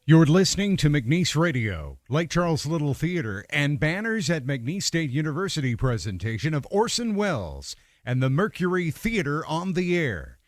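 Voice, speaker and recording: A male radio announcer reading a formal station announcement. His voice sits somewhat high and moves with lively intonation, and the recording is very dry. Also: no room sound.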